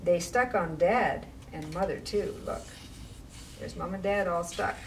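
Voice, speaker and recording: Woman, children's storytime reader, unhurried pace, expressive phrasing, distant mic, faint background noise. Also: lowish voice. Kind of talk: read-aloud children's rhyming story with casual asides.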